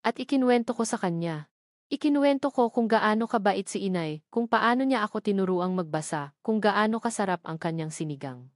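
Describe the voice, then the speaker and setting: A Filipino woman tells a romantic drama in the first person. Her voice sits at a medium pitch, and she delivers it sentimentally in measured phrases. The sound is dry, with almost no room in it. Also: expressive, no room sound. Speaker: story narrator.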